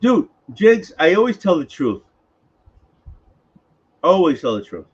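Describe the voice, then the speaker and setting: A man with a somewhat high voice chats on a live stream, teasing his friends and swearing freely. The sound is dull and lo-fi. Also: very animated, unhurried, slightly rough. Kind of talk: casual chat on a live stream.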